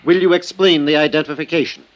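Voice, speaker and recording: A man's voice: fairly high pitch, fairly even intonation, fast talker, lo-fi sound, close to an everyday voice. A man in an old-time radio courtroom drama playing a prosecuting attorney who questions formally and methodically, courteous but insistent.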